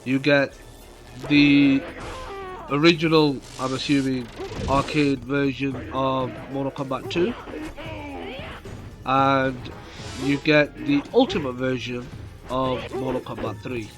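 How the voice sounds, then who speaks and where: A man's voice: medium pitch, flat delivery. A man with a cold and a nasal voice, casually commenting on gameplay for his channel, with fillers. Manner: slow, rambling, many fillers. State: has a cold coming on. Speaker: gaming YouTuber. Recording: game music behind voice. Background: game music.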